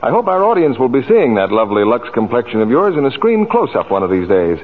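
A man's voice: medium pitch, sing-song, quick pace. A man announcing a 1940s radio commercial in a courtly, salesmanlike scripted manner. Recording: tinny 1940s radio recording.

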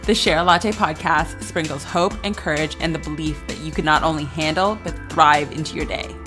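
A woman's voice: low-pitched, normal speed, over music. A woman hosting a podcast, introducing her guest and reacting with upbeat, gushing enthusiasm. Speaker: podcast host.

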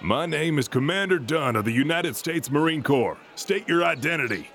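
A man's voice: a little high, animated, moderate pace, creaky, a bit bright, a little hiss or hum. Man playing a stern, authoritative military commander. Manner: clipped, authoritative. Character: stern male US Marine commander giving orders. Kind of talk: audio drama acting.

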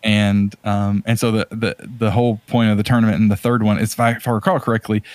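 Casual man with a lowish voice. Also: little pitch movement, fast talker, darkish tone, no room sound. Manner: informal, conversational. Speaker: podcast co-host. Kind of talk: casual chat.

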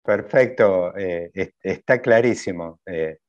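A man's voice: deep voice, slow, a little mellow, faint music behind. An Argentine man with an Argentine Spanish accent, interviewing a guest and building long questions with hesitant fillers. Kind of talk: interview conversation. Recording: dull lo-fi sound.

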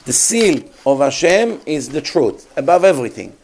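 Guy preaching, slightly high voice, keeps firing questions at the crowd. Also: big pitch swings, moderate pace, a little mellow. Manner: emphatic, rhetorical questions to the audience. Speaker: rabbi giving a talk. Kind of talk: sermon.

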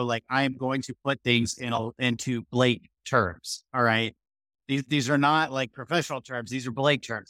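Halting man in a dry-sounding space. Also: mid-range voice, a bit bright, dry recording. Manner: halting, hedging, searching for words. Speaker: podcast guest. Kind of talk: casual opinionated discussion.

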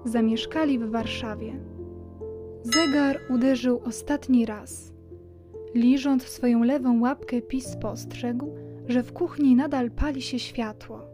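A woman's voice: fairly high pitch, fairly even intonation, slow. A woman narrator reading a scripted children's story. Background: music.

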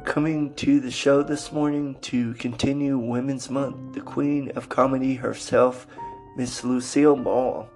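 A man introduces podcast episodes at a slow, halting pace, breaking his sentences into short phrases. His pitch stays fairly flat and mid-range. Faint music plays behind him. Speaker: podcast host.

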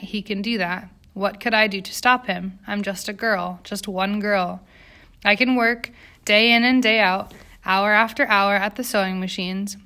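A woman's voice: mid-range voice, pushed and bright. A woman voicing an earnest, determined young immigrant factory girl in an audiobook, with precise English.

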